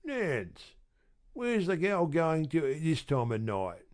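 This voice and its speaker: A woman reading an audiobook, voicing a gruff, menacing male robber in a lower, louder voice. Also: big pitch swings, slow pace, exaggerated voice. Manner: gruff, threatening.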